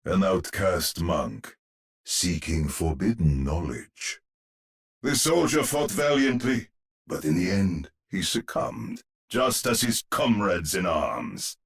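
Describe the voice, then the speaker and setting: An actor playing a grave gothic storyteller, narrating a horror tale for a video game in a deep, husky, dramatic and measured voice. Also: male voice, expressive, exaggerated voice. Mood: grave, mournful.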